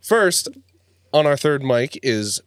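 A man's voice: mid-range voice, sing-song, takes it slowly, smooth, no room sound. Teasing male podcast co-host. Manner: teasing, laughing. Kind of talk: casual chat. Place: cabin, long table with family.

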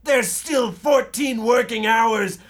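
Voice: mid-range voice, slow, lightly acted. A performer playing a domineering, callous father and factory boss, declamatory, in an old-time radio drama parody. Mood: commanding, smug. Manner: declamatory, commanding.

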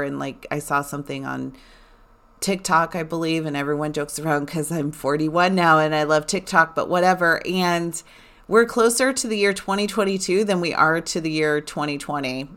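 A woman in her forties chatting casually to her listeners, animated and rambling with lots of fillers. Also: sits low, moderate pace, clear, resonant tone. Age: 40s. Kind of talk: casual personal chat.

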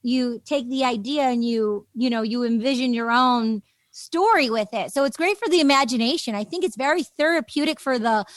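Gushy rambly lady podcast host, really high voice, super into it, dry close sound. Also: moderate pace, no echo. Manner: gushing, enthusiastic, rambling. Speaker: podcast host interviewing a guest. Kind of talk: casual, effusive interview chat.

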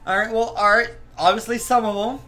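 A man in his thirties chatting casually and telling personal stories in a rambling, animated, profane way. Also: sits high, brisk. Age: 30s. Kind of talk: casual chat, personal anecdotes.

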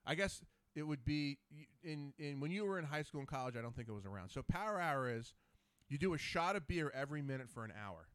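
A man in his forties hosts a relaxed podcast and talks in a loose, profane way, drifting into long stories. His pitch swings widely and sits slightly high. The sound is close and dry, with no room echo. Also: quick pace, clean tone. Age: 40s. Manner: loose, profane, rambling storyteller. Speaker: podcast host. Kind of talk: casual podcast chat.

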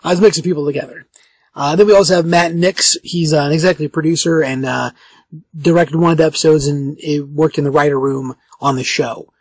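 A man with a raspy voice hosting a podcast in casual chat, halting and often correcting himself. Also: a little high, steady tone, no room sound. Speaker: podcast host.

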